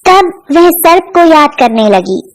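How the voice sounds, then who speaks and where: Female storyteller for animated fables; high pitch, slow scripted delivery. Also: background noise. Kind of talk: scripted folk-tale narration for cartoon.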